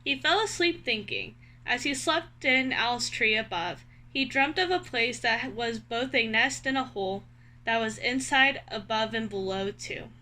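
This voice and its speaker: Bright, high-voiced woman reading to children. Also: unhurried. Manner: expressive, clearly addressing young children. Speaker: read-aloud host. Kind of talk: children's story read-aloud with questions to listeners.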